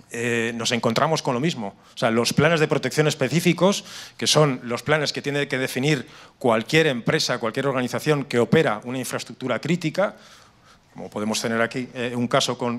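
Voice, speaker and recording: A man's voice: medium pitch, a bit bright. A middle-aged man with a Spanish accent from Spain gives an explanatory conference presentation into a handheld microphone, often restarting and repeating words. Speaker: conference speaker on cybersecurity. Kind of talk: live conference presentation with slides. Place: indoor conference room with seated audience.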